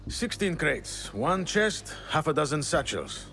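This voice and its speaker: A man's voice: a little high, sing-song, unhurried, sharp tone, full character voice. A man playing a dutiful dock underling in a video game. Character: dutiful male dock underling reporting a tally. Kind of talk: dramatic video game acting.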